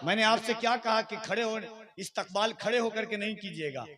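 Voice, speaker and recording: A man's voice: high voice, a little fast, crowd noise. A man addressing a religious gathering from the stage in a declamatory, admonishing style. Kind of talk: stage oratory, announcing and admonishing.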